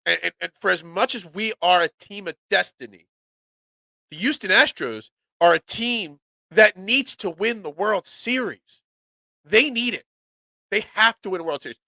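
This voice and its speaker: A man hosting a live sports talk show, nasal and congested from a cold, excitable and rambling. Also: sits high, moderate pace, gravelly, no room sound, poor audio. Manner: excitable, rambling, restarts often.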